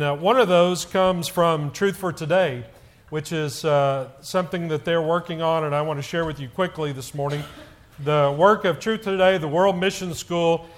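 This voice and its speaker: A middle-aged man with a fairly high, loud voice gives a church announcement to the congregation. He talks in a halting way, often filling gaps with "uh" and restarting his sentences. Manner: loud voice, halting with many fillers. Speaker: church elder.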